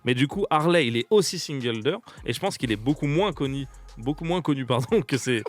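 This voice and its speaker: A man's voice: medium pitch, expressive. Young french man, radio host, casual studio chat, laughs a lot. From France. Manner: laughs often, jokey and fast-talking.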